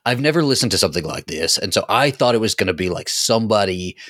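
A man's voice: lowish voice, expressive, quick pace, fairly bright tone, no echo, studio quality. A man co-hosting a podcast, talking casually and emphatically as he gushes in a fan discussion. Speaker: podcast host.

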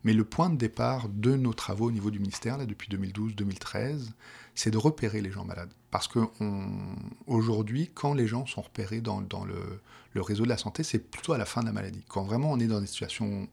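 A man's voice: on the low side, fairly quick, vocal fry, a little mellow, breathy edge. A middle-aged man from France with a Parisian accent, explaining his work in an interview, hesitant with many restarts. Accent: Parisian French. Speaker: project manager for a provincial health plan.

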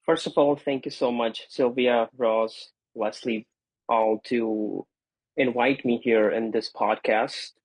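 A man in his twenties whose first language is Gujarati, a podcast guest giving earnest, grateful answers with frequent pauses and repeats. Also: medium pitch, fairly even intonation, breathy edge, no echo, rough recording. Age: 20s. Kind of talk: conversational interview answers.

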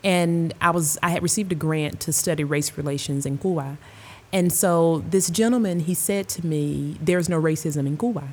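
A middle-aged American woman, a Spanish professor, telling her experiences in a measured, earnest way with soft laughs. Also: low voice, breathy edge. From United States. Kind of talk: panel discussion, thoughtful storytelling.

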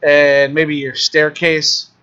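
A man guesting on a podcast, telling animated stories with plenty of swearing. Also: a little high, slow, clear, resonant tone, highs cut off. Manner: animated storytelling, heavy swearing. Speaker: filmmaker guest. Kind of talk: casual podcast chat.

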